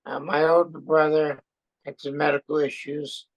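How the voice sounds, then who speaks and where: A man, a veteran speaking hesitantly as an interview guest, with many pauses and fillers. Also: fairly high pitch, little pitch movement, muffled recording. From born in Austria, raised in Brooklyn and France. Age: 70s. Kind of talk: casual chat.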